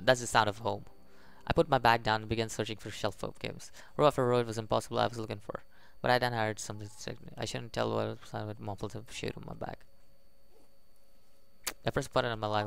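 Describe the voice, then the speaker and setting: A man hosting a gaming video, reading a visual novel aloud in a halting way, with casual commentary. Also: lowish voice, level tone, creaky, clean tone. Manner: halting, stumbles over the text.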